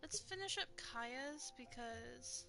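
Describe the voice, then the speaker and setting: A young woman with a soft voice, chatting casually and laughing as she streams a video game. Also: high voice, animated, slow pace, gravelly. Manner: rambling, chatty, laughs often. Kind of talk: casual gameplay commentary. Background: game music.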